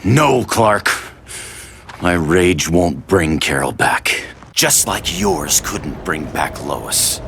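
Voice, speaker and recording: An actor playing a grieving, resolute hero in video game cutscenes, speaking slowly in a hushed, gravelly way. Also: male voice, low-pitched, sing-song, slight background noise, heavily acted. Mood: somber. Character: grieving space cop hero, quiet and resolute. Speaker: game character.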